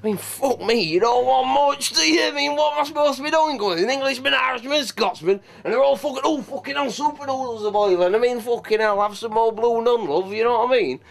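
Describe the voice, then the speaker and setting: An actor playing a crude, swaggering cook from Yorkshire with a Yorkshire accent, rambling and swearing. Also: male voice, extremely high pitch, brisk, creaky, hardly any pauses. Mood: brash rambling. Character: crude boozy Yorkshire lad cook, brash and lewd. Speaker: comic cook character. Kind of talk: improvised comedy sketch.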